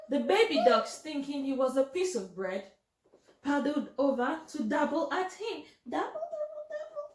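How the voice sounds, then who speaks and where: High-pitched, expressive woman reading to children. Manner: expressive, addressing young listeners directly. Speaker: storytime host reading a picture book. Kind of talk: children's storybook read-aloud. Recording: roomy, echoing home recording.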